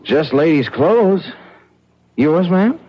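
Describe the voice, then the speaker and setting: A slow-talking, awkward, doubtful investigator. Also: male voice, a little high, big pitch swings, husky, heavily acted. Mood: skeptical. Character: awkward, well-meaning male investigator, doubtful but soothing. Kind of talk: old radio drama acting. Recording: dull old radio recording.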